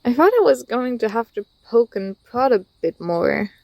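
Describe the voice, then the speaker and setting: A woman acts out a young female student, fond and gently teasing, in an intimate ASMR roleplay. Her voice is soft and fairly high, with plenty of fry at the edges. The sound is dry and close, and her delivery is tender and a little hesitant. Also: expressive, normal speed, no echo, muffled recording. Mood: pleased, teasing. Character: affectionate, teasing young female university student confessing feelings.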